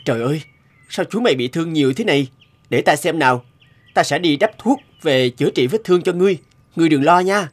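Earnest high-voiced young scholar. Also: male voice, lively intonation, fast talker. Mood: caring. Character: kind, earnest poor young scholar, devoted son. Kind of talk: cartoon voice acting.

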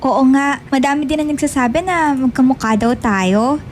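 A woman acting an obsessive, needy young woman in a Filipino radio drama, pleading and halting in manner. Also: high voice, slight background noise, natural voice. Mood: feigned sweetness. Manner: pleading, halting when evasive.

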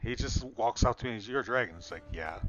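A man's voice: fairly low pitch, quick pace, muffled recording, over music. A man improvising in-character roleplay conversation in a reassuring manner. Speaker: roleplayer in a virtual-world game.

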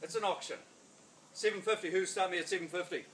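Brisk New Zealand auctioneer calling bids. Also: male voice, high-pitched, a bit bright, echoey room, slight background noise. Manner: brisk, coaxing, repeats the bid in a chant. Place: sunny backyard outdoors. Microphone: phone camera at a distance.

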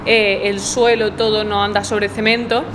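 A woman's voice: medium pitch, flat delivery, normal speed, background noise. A woman in her thirties with an accent from Spain, chatting casually and explaining things to camera as a vlogger.

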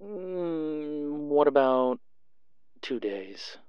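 A man's voice: a little high, lively intonation, very slow, clear, resonant tone, dry recording, muffled recording. A man playing a suave, flirtatious underworld club owner in an audio roleplay, purring and teasing as he talks. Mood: measured.

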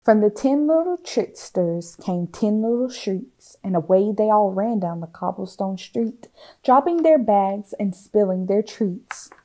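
A woman reading a children's picture book aloud expressively, with theatrical hushes and swells. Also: medium pitch, lively intonation, unhurried, low-quality recording. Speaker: storytime reader.

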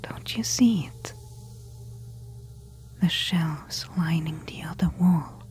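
A woman playing a careful, eager temple explorer in a soft-spoken ASMR audio roleplay, hushed and deliberate. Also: deep voice. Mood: quiet wonder. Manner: hushed, slow, deliberate whispering.

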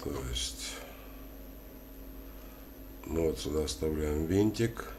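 A man with a cold giving a casual hands-on tutorial, halting and thinking aloud as he works. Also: very deep voice. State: has a cold. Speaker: DIY tutorial host. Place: indoors at a wooden worktable. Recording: clearly noisy home recording.

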